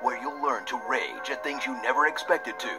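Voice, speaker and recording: Guy doing sarcastic epic trailer narration, loud music behind, sounds played off a tv. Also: mid-range voice, normal speed. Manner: mock-dramatic, sardonic announcer delivery. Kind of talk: scripted parody trailer narration. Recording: re-recorded off a screen's speaker.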